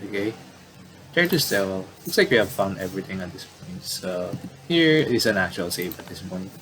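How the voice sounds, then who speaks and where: Low-voiced man commentating over noise. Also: very animated. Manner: rambling, self-correcting, halting. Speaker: gaming video commentator. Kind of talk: casual playthrough commentary, reading game dialogue aloud.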